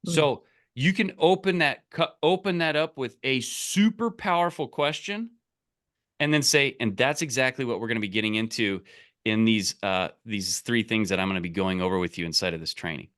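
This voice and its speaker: A man with a bright, sharp-edged voice coaches casually on a call. He is emphatic and direct, with a salesy push, and keeps checking that the listener follows. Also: mid-range voice, normal speed. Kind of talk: casual coaching, explaining and giving example scripts.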